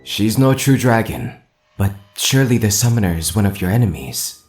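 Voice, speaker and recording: Masked bodyguard, voiced by a man: lowish, very varied intonation, formal, loyal fantasy warrior over music. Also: sing-song, moderate pace, a little mellow. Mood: guarded, intense. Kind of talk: fan-dub game character acting.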